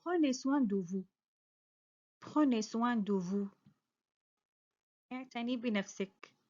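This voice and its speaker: High-voiced teacher woman drilling phrases. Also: unhurried, darkish tone, muffled recording. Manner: careful, clearly spoken model sentences, each repeated. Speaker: French teacher. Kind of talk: slow repeated phrase drills with quick translations.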